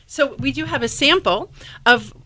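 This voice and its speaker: A woman's voice: sits high, lively intonation, moderate pace, sharp tone. A woman explaining things articulately in a radio interview. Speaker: radio show cast member and history writer.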